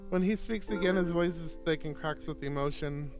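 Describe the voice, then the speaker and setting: A man with a fairly high voice reads a visual novel aloud on a stream. He narrates casually, trips over words and restarts, and drops in chatty asides, with guitar and piano music clearly behind him. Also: moderate pace. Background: guitar and piano game music.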